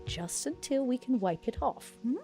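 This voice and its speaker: A woman's voice: a little high, animated, normal speed, music in the background. A woman playing a tabletop roleplaying game, speaking in character in a languid, arch, sardonic manner. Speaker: player.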